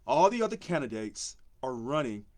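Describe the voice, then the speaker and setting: A man with a deep voice, a union presidency candidate, speaking on a live stream and repeating key phrases for emphasis. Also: big pitch swings, moderate pace, a little mellow. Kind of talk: campaign speech on a live stream.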